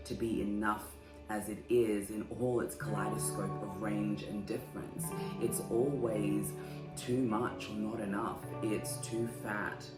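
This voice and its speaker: A woman with a strikingly deep, low voice speaks slowly and deliberately, weighing each phrase in a solemn, measured way. She sounds roomy and echoey, with loud guitar music playing under her. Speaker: host. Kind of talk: scripted poetic narration.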